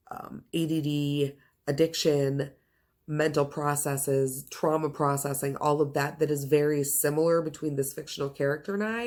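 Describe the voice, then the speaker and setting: A woman's voice: deep voice, a little mellow. A woman hosting a casual book chat, speaking to viewers with gushing, emphatic enthusiasm and stretching the words she stresses. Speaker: book reviewer and video host.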